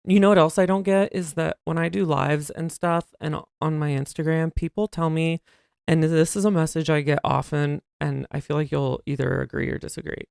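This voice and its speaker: A man co-hosting a casual podcast chat, talking in a soft, breathy voice that listeners call soothing, telling stories animatedly. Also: fairly high pitch, normal speed, no room sound, clean studio sound. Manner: chatty, animated storyteller. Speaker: podcast co-host.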